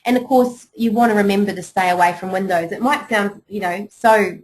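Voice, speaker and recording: A woman with a New Zealand accent talks through a webinar on business preparedness. Her delivery is conversational and encouraging, dotted with small fillers as she goes, and her voice sits at an ordinary middle pitch. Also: brisk, poor audio. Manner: chatty and encouraging, many "you know" and "um" fillers. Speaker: webinar presenter.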